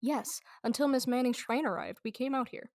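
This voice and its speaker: A woman takes the part of an earnest, defensive husband in a radio mystery. The voice is somewhat high and the delivery stagey. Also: a little fast, darkish tone, lightly acted. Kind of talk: amateur radio drama acting.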